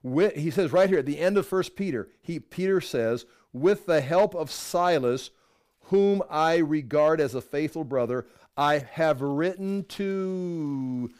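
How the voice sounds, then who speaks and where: A man's voice: fairly high pitch, expressive, unhurried, darkish tone, smooth. A middle-aged man teaching a Bible class in a conversational, digressive way, with wry asides and self-corrections. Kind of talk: casual Bible class lecture.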